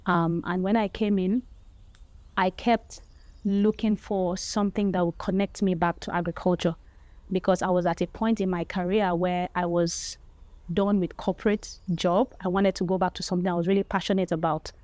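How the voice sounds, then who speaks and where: A woman's voice: fairly low pitch, steady tone, moderate pace, a little mellow, dry recording. A woman speaking articulately and fluently in a documentary interview.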